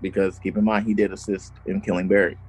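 A man's voice: low voice, little pitch movement, quick pace, low-quality recording. A man co-hosting a podcast, telling a true crime story in casual chat and laughing often. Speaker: podcast co-host.

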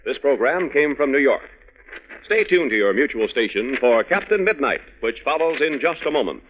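A man's voice: mid-range voice, big pitch swings, normal speed, very noisy. A man announcing on 1940s radio in a scripted, folksy, instructive and emphatic style. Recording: old narrow-band radio recording.

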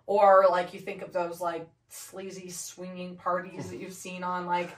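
A young woman co-hosting a podcast from her home office, hoarse from laryngitis, chatting casually with many fillers. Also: on the low side, completely flat delivery, unhurried. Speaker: podcast co-host. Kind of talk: casual chat. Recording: echoey room, distant mic.

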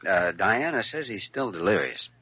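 Low-voiced, earnest young doctor. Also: male voice, animated, normal speed, husky. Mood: easygoing. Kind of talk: old-time radio drama acting. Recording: muffled, noisy vintage radio recording.

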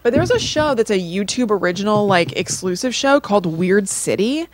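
A woman's voice: medium pitch, lively intonation, moderate pace, dry recording. Woman in casual chat, dry sound. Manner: informal, loose phrasing peppered with "like". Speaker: podcast co-host.

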